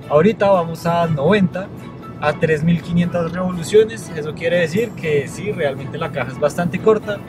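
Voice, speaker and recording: A young adult man with a Colombian accent, giving a casual, conversational car review to camera and explaining as he goes. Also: on the high side. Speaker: car reviewer, video host. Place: inside a car and outdoors by a road.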